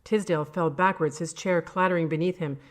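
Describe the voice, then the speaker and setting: Low-voiced woman narrating horror fiction. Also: steady tone, a little fast. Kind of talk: scripted horror story narration.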